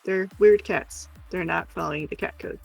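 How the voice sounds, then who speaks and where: Woman with a gravelly husky voice, talks real slow and casual, bit of music behind. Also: fairly low pitch, a little mellow, muffled recording. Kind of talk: casual chat.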